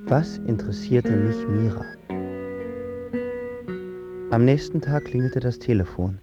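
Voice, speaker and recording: A man's voice: medium pitch, measured pace, lo-fi sound, lightly acted. Actor as a moody young man over guitar music. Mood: numb, bitter. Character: moody, lovesick young writer, brash and bruised. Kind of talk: radio drama acting, first-person narration.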